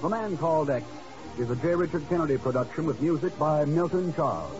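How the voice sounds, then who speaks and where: Higher-pitched 1950s male radio announcer. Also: expressive, a little fast, over music. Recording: muffled 1950s radio recording.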